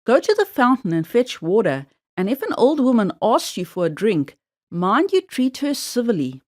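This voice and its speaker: A bossy, bad-tempered storybook mother, played by a woman narrator makes demands impatiently. Her voice is fairly high and swings widely in pitch. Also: sing-song, moderate pace.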